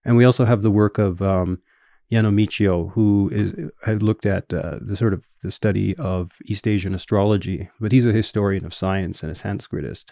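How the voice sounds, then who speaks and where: Deep-voiced Canadian man explaining measuredly. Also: steady tone, vocal fry, mellow, no echo. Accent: Canadian English. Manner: measured, explanatory, with frequent fillers. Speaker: guest scholar. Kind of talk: academic interview answers.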